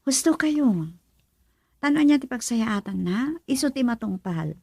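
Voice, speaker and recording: A woman voicing a poor, hardworking young daughter in a radio drama, speaking in an earnest, pleading way with a husky, creaky voice. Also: fairly high pitch, animated, a little slow, darkish tone, no echo, clean studio sound, heavily acted. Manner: pleading, prayerful. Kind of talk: Ilocano radio drama acting.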